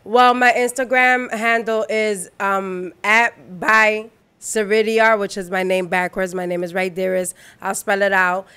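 A young woman with a Dominican New York accent, a nervous guest on a podcast. She talks softly and hesitantly and giggles often. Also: medium pitch, flat delivery, measured pace, smooth. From New York City. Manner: giggly, hesitant, soft-spoken. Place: studio with couch. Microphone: desk mic.

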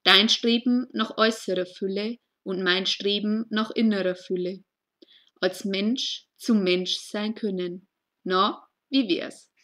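A woman reading her own poem in a slow, deliberate, earnest way, with short phrases set apart by pauses. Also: mid-range voice, fairly even intonation, a bit bright. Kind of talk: spoken poetry reading.

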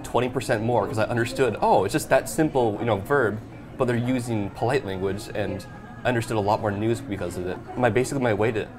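A man's voice: on the low side, music in the background. A man in his twenties, an eager former exchange student talking about his time abroad, recorded on a lapel mic in a small shop. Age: 20s. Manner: eager, talkative, quick restarts. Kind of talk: casual interview talk. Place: small shop with shelves, indoors.

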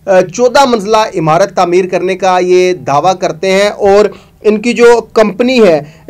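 A man, hosting a news show and giving emphatic, accusatory commentary to camera in a studio. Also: sits high. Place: studio with green screen.

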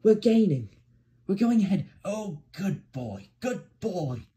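A man voicing a hearty, excitable male train driver in a children's storybook, urging and cheering in exclamations. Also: on the high side, slow, pitched above the performer's own voice.

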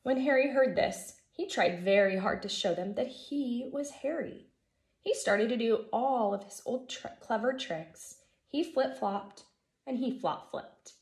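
An American woman in her thirties, a first grade teacher reading a children's storybook aloud in an expressive, emphatic way. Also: on the high side, measured pace. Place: living room at home, indoors. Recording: echoey room.

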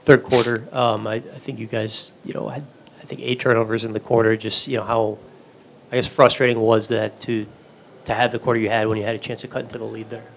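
A male reporter asking halting questions full of fillers at a press conference. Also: on the low side, flat delivery, vocal fry, a little hiss or hum.

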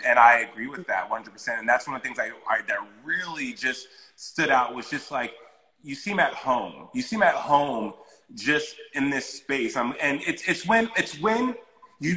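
A man's voice: mid-range voice, bright, forceful tone. An American man aged about forty, hosting a casual interview over a video call. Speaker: interview host. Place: living room indoors, on a video call. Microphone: lapel. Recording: echoey room on video call.

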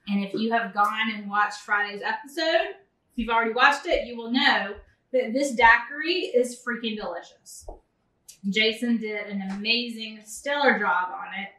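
Chatty high-voiced woman in kitchen. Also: moderate pace, sharp tone, recorded from a distance. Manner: chatty and enthusiastic, addresses viewers as y'all. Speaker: cooking channel host. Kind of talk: casual cooking vlog. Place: large home kitchen. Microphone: camera a few feet away. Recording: echoey kitchen, voice a bit off-mic.

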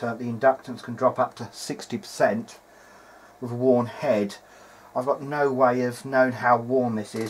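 Man, middle-aged, mid-pitched. Hobbyist repair diary, meandering and unscripted, matter-of-fact. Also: a little hiss or hum. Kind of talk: video diary, thinking aloud while working. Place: indoor workbench.

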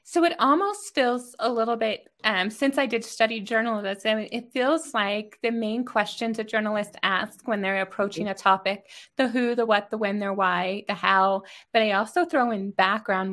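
A woman's voice: medium pitch, normal speed, few pauses, fairly bright tone. A middle-aged woman hosting a casual interview from a room at home over a video call. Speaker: interviewer, host. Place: home room with bookshelves, indoors.

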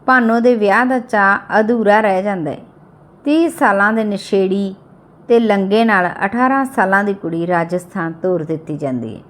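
Lady reading out a sad family audio story, medium pitched voice. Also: moderate pace.

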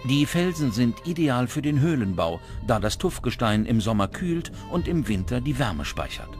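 A man's voice: medium pitch, sing-song, normal speed. A man reading scripted documentary narration as narrator. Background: music under the voice.

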